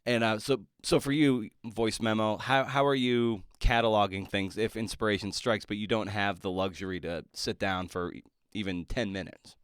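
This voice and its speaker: A man with a smooth, clear voice hosts a casual interview, recorded close and dry. He talks haltingly, searching for the right words as he goes. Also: on the low side, fairly even intonation, dry recording. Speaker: podcast host.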